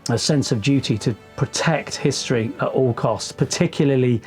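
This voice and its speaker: A man's voice: medium pitch, moderate pace, a little mellow. A man speaking reflectively in an interview, feeling his way through his phrases as a cast member. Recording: music behind the voice.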